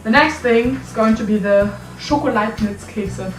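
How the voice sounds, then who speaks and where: A woman's voice: fairly high pitch, moderate pace. Teenage girl from Germany, echoey room. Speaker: channel host. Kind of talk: casual vlog chat among friends. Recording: echoey room with music underneath.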